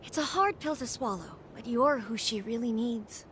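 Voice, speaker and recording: A woman's voice: fairly high pitch, moderate pace, a bit bright, a little hiss or hum, natural voice. A woman playing a haughty, overprotective noble sister in a video game cutscene, speaking in a formal, dramatic manner. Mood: sincere, softened.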